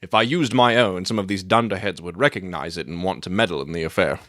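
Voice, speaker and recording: A man playing a self-assured gentleman detective in a dramatic audiobook, theatrical and articulate as he expounds his deductions. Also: sits low, fast, no room sound, clean studio sound. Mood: confident, explaining.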